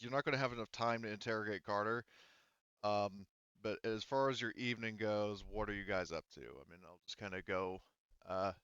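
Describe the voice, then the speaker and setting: A man with a clear, smooth voice works as a game master, talking casually through a tabletop session. The recording is dry and slightly dull, with no room around the voice. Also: on the low side, a bit bright, no room sound.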